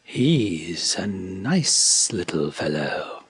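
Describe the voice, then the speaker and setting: A man voicing a sly, sinister female snake in a storybook, insinuating and slithery, in a husky, breathy near-whisper. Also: sits low, very animated, drawn-out words, no echo, pitched below the performer's own voice, exaggerated voice.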